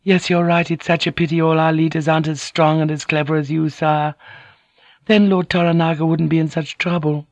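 A man voicing a soft, apologetic Japanese noblewoman who is cunning and deferential in an audiobook. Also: a little high, level tone, a little fast, no echo, voice pushed up, lightly acted. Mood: meek flattery. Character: penitent, cunning Japanese noblewoman, flattering and meek. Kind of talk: audiobook character acting.

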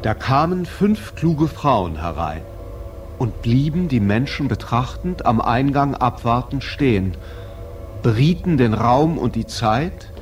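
An actor narrating a literary radio play as a wry observer of pub life, in a measured, precise delivery. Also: male voice, mid-range voice, very animated, soft background music.

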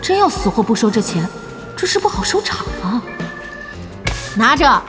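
An actress playing a brash, excitable, sharp-tongued young woman boss in an audio drama. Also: a little high, normal speed, over music. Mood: flustered, exasperated. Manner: animated exclaiming, teasing, blunt.

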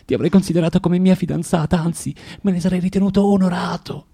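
An actor in an Italian radio drama playing a bitter, self-loathing man who lectures a young woman haltingly and theatrically, swinging from tender to vehement. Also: sits high, fairly even intonation, creaky, mellow, breathy edge, exaggerated voice. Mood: wounded, vehement.

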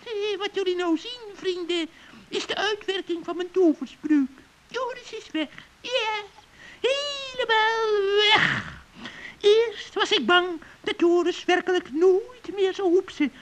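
A performer playing a gloating, spiteful old witch in a children's radio play, with a creaky, cackling voice and a mock-friendly, taunting manner. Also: very high-pitched, slow pace, fairly bright tone, exaggerated voice.

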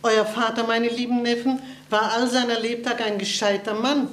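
An actress in a radio play voicing a small, outspoken elderly aunt who scolds bluntly. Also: female voice, a little high, flat delivery, moderate pace, echoey room, lightly acted. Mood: scolding.

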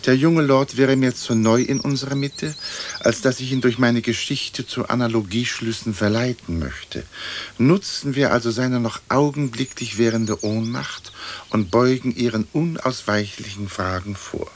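An actor playing a haughty, verbose old English lord's ghost in a German radio play, with pompous, formal speech. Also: male voice, mid-range voice, normal speed, a little hiss or hum, close to an everyday voice. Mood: haughty, narrating.

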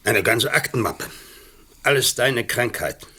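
An older actor with a Swiss German accent playing a kindly, reassuring doctor in a radio play, a little off-mic. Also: male voice, mid-range voice, lively intonation, unhurried, pushed and bright, husky, exaggerated voice. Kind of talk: 1980s radio play acting.

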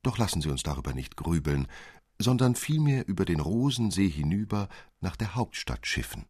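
A man's voice: low voice, sing-song, moderate pace, darkish tone, breathy edge, dry recording, clean studio sound, heavily acted. A man voicing a small gallant nutcracker prince who explains things courteously in flowery, formal speech, in a storybook reading. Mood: mocking, courteous.

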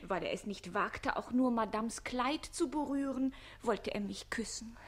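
An actress playing a pert, quick-witted young lady's maid in a radio comedy, coy and playful with her mistress. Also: on the high side. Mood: coy, teasing. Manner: coy, playful, breathy asides. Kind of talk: German radio play of a classic stage comedy.

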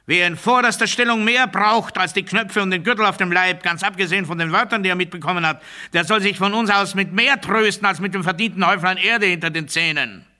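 Pompösen, fanatischen Redner mit scharfer Stimme. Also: male voice, sits high, fairly quick, hardly any pauses. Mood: strident, fervent. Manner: pompous, emphatic, swinging from hushed to strident. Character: fanatical militaristic male orator declaiming rigid dogma. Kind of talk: German radio play monologue, oratorical declamation.

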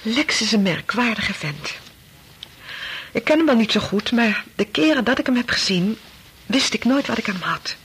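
A troubled, insistent wife in a Dutch radio drama. Her voice is very husky and fairly natural. She hesitates, repeats herself and keeps probing. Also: fairly high pitch, lively intonation, moderate pace, fairly bright tone, heavily acted. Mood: uneasy, probing. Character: anxious, probing wife of a doctor, brooding and insistent. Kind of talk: Dutch radio play acting.

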